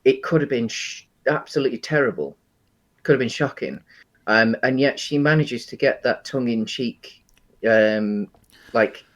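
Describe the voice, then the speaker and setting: A man co-hosting a casual film discussion podcast, talking enthusiastically in a hesitant, halting, rambling way. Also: mid-range voice, lively intonation. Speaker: podcast host.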